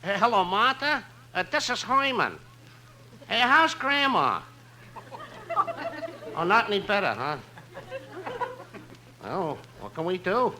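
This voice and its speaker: A man's voice: high-pitched, very animated, slow pace, long pauses, sharp tone, exaggerated voice. A man playing a scheming telegram messenger, deferential, hesitant and plaintive, in 1950s radio comedy. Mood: mournful, pleading. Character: scheming telegram messenger with a hard-luck sob act. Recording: noisy old 1950s radio broadcast.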